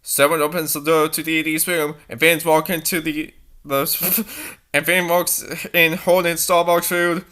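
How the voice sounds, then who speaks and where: A woman's voice: low voice, flat delivery, unhurried, fairly bright tone. A woman reading a story aloud for comedy, with sarcastic, amused commentary in a mocking style. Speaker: reader and commentator.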